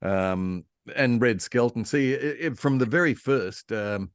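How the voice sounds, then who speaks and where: A man speaking as a guest historian in a casual, informed discussion, with halting, rambling phrasing and repeated words. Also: medium pitch, dry recording, studio quality. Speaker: panel guest, radio historian.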